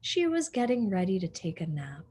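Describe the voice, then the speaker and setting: A woman teacher reading a storybook aloud to children, animated and full of asides and questions to her young listeners. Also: fairly low pitch, moderate pace, breathy edge.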